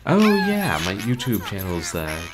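A man's voice: on the low side, huge pitch swings, unhurried. A man in his thirties chatting casually to livestream viewers as host, wry and self-deprecating, with wordy phrasing. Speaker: livestream host, video game streamer. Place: small bedroom, indoors. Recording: noisy home webcam stream.

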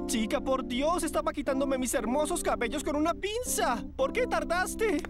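A woman voicing a flamboyant, fussy, excitable male modeling agent in a cartoon, theatrical and gushing. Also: high voice, moderate pace, music in the background. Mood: exasperated. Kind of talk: animated cartoon dub acting.

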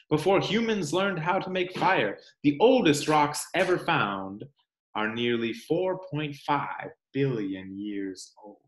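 A man in his thirties reading a children's picture book aloud in a deliberate, explanatory way, with dramatic emphasis on key words. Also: mid-range voice. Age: 30s. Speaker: read-aloud presenter. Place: small room at home, indoors. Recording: roomy home recording.

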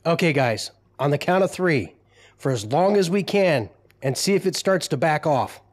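A determined, urgent male leader acted by a man in a sci-fi audio drama. His voice is on the high side as he rallies his group. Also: animated, moderate pace. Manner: rallying, urgent. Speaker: voice actor.

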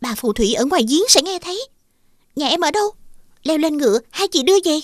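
Lady playing sweet polite young girl in a kids fairy tale, super high voice, earnest. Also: animated, fairly quick, no echo, clean studio sound. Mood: urgent. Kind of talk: scripted children's fairy-tale acting.

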